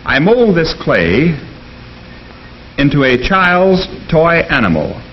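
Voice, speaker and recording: An actor playing an earnest American professor in a 1950s educational film, speaking in a formal, deliberate, didactic way. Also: male voice, fairly high pitch, big pitch swings. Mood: earnest, instructive. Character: earnest male economics professor lecturing his class. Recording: dull, noisy 1950s film soundtrack.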